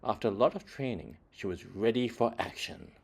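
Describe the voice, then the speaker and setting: Low-voiced man reading a children's story. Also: vocal fry, slightly rough. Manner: dramatic emphasis, deliberate phrasing, varied delivery. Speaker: children's book reader. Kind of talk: expressive read-aloud storytelling for children.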